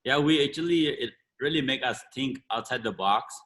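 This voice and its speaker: Fast-talking earnest man, bright voice. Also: mid-range voice. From India or Burma. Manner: quick, earnest, broken English with many "you know"s. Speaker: martial arts school owner. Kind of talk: casual interview talk.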